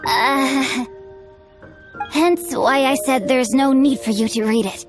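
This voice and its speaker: A woman's voice: high-pitched, takes it slowly. A woman playing a bookish, chivalrous teenage boy in a video game, speaking in formal, flowery, composed phrases. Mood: assured. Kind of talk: video game character dialogue. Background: music.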